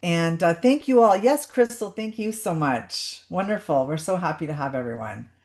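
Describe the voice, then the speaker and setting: A woman's voice: lowish voice, animated, normal speed, darkish tone. A woman hosting a live webinar over a video call, chatty and welcoming as she greets everyone. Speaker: webinar host.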